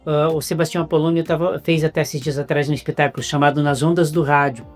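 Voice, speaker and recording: A Brazilian man with a Brazilian Portuguese accent, hosting an interview in a casual, halting way with many restarts. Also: on the high side, fairly even intonation, faint music behind. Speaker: interviewer host.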